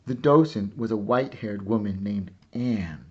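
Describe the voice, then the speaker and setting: A man narrating a children's picture book with measured, deliberate storytelling. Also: fairly low pitch, highs cut off. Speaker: storybook reader.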